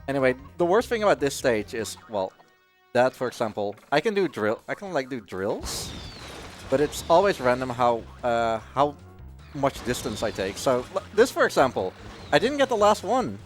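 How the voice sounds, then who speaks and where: A man commentates live on his own video game speedrun in a casual, chatty way. His pitch moves around a lot as he breaks off and restarts his explanations. Game music plays softly behind him. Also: sing-song, normal speed.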